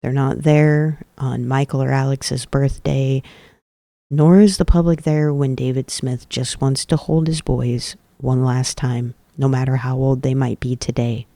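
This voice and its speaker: Earnest man with a gravelly, dark voice. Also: mid-range voice, flat delivery, dry recording. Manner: earnest, emphatic, deliberate repetition. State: voice a little off today. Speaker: podcast host. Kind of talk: advocacy commentary.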